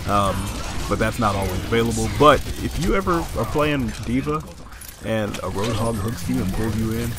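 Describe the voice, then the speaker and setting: A man's voice: lowish voice, music in the background. Man coaching through gameplay, a bit stuffy. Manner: explanatory, coaching tone with quick excited asides. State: slightly stuffy nose. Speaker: gameplay commentator. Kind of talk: casual gameplay commentary.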